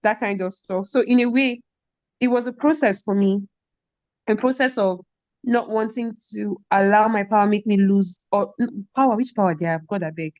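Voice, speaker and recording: A young Nigerian woman, a podcast guest, telling school stories in casual conversation, animated and quick to laugh, often asking "do you get?". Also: medium pitch, no echo, dull audio. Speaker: podcast guest, former head girl.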